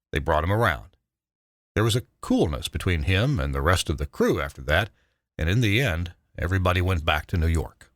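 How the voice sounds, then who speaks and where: An older man, a retired broadcast engineer, narrating a scripted memoir in a measured, dramatic way with emphatic stresses. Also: sits low, fairly bright tone, dry recording, clean studio sound.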